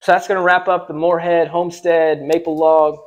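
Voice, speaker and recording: A man's voice: fairly high pitch, little pitch movement, normal speed, faint music behind. Upbeat man hosting a sawmill vlog. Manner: upbeat, plain-spoken. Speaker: sawmill channel host. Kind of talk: casual workshop vlog chat. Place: large indoor sawmill shop.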